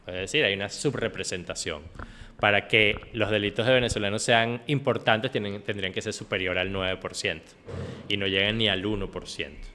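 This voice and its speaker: A man's voice: fairly low pitch, a bit bright, slight background noise. A man giving an explanatory, off-the-cuff conference lecture as a researcher, often adding short tag questions to check in. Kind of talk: extemporaneous lecture on data. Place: indoor conference hall. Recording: reverberant hall, conference recording.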